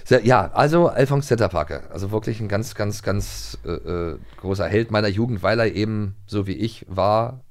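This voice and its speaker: Middle-aged male host, very deep and gravelly, hesitant and chatty. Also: normal speed, hardly any pauses. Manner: hesitant, full of filler sounds. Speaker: podcast host. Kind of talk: casual chat about radio plays.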